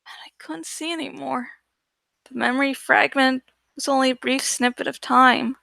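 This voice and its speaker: A woman reading a novel aloud as dramatic first-person narration, with deliberate, suspenseful phrasing. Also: sits high, fairly even intonation, vocal fry, sharp tone, dry recording. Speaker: audiobook reader.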